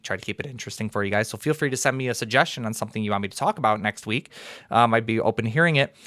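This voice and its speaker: A man's voice: mid-range voice, a bit bright, no echo, clean studio sound. Young American man hosting a call-in stream. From United States. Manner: conversational, filler-heavy, upbeat sign-off. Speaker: livestream host. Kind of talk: casual call-in debate stream.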